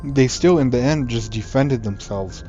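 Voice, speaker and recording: A man's voice: medium pitch, moderate pace, warm tone. A man giving casual commentary as he plays through a video game. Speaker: gamer commentating a playthrough. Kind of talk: casual let's play commentary. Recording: dull lo-fi mic, faint game music.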